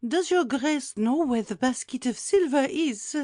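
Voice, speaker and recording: A woman's voice: sits high, animated, a little mellow, dry recording. A woman playing a fretful, exclamatory elderly housekeeper in a dramatised classic audiobook. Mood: anxious. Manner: exclamatory, gasping, drawn-out lamenting.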